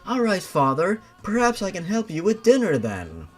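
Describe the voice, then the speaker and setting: A man's voice: high voice, huge pitch swings, normal speed, breathy edge, soft background music, pitched above the performer's own voice, full character voice. A man voicing a polite young woman from a horror fan story, in formal phrasing. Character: polite young woman, kind to children, coolly menacing.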